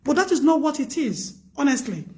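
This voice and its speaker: A woman speaks on a live stream in a high, loud voice. Her advice is earnest and emphatic, and she repeats herself to drive points home. Her tone is preachy and casual. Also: big pitch swings, brisk.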